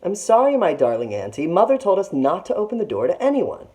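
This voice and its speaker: A woman's voice: fairly low pitch, wildly swooping pitch, brisk, warm tone, pitched above the performer's own voice. A woman voicing a wary, bossy young big sister in a storybook, with firm, emphatic phrasing. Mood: firm, polite. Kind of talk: storybook read-aloud character voice.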